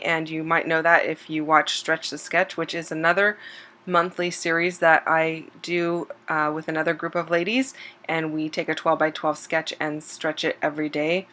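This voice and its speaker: A woman with a notably low voice narrates her scrapbooking process video in a loose, chatty way. She sounds a little hoarse, as if she is losing her voice, and fills her talk with ums and quick self-corrections. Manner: relaxed and chatty, with frequent um fillers and self-corrections. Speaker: scrapbooking channel host. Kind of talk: casual voice-over narration of a craft process video.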